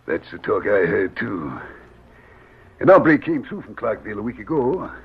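An actor playing a weary, wary middle-aged drifter in an old radio western, with a husky voice and folksy frontier speech. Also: male voice, lowish voice, sing-song, normal speed, full character voice. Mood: guarded. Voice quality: husky, gravelly. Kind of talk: 1940s radio western acting. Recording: muffled old radio recording.

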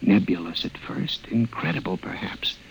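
An American actor playing an anguished, obsessive office scientist in melodramatic 1950s radio drama style, on an old radio recording. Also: male voice, lowish voice, lively intonation, normal speed, gravelly, a little hiss or hum, low-quality recording. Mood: haunted, brooding. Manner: melodramatic, haunted, intense delivery. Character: anguished, obsessive male office scientist narrating his nightmare.

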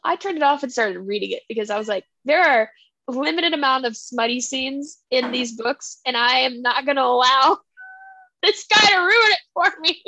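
A young woman co-hosting a casual book podcast, animated and often laughing as she acts out characters' lines. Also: sits high, normal speed, dry recording, poor audio. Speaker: podcast co-host. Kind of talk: casual chat about books.

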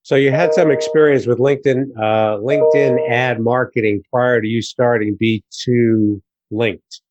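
A man's voice: mid-range voice, steady tone, a little slow, darkish tone, slight background noise. A man hosting a conversational business interview, asking questions over slightly lo-fi audio. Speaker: interviewer, host.

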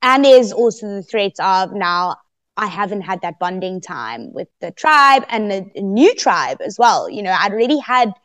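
Lively woman telling her story. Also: medium pitch, moderate pace. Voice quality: very loud voice. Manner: lively, expressive storytelling with laughter. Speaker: guest, eliminated contestant. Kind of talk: podcast exit interview.

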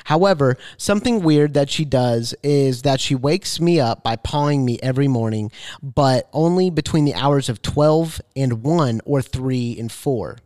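A man's voice: medium pitch, unhurried, darkish tone, no room sound, studio quality. A man co-hosting a podcast, casually reading aloud and commenting. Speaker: podcast co-host.

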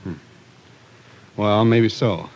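An actor playing a stubborn, distrustful small-town brother in a 1940s radio drama, blunt and scoffing. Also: male voice, lowish voice, very slow, gravelly, a little mellow, full character voice. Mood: skeptical.

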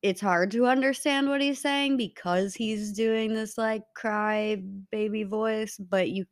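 A woman's voice: mid-range voice, steady tone, slow. A young woman co-hosting a casual podcast, who cuts in with questions. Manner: questioning, interjects. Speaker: podcast co-host. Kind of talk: casual chat.

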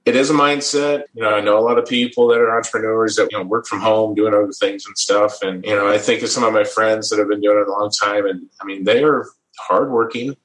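A middle-aged man with a fairly low voice talks in a relaxed, easygoing way and chuckles often. He restarts his phrases as he thinks. His voice bounces around an echoey room. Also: fast. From American Midwest. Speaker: guest. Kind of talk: casual interview storytelling.

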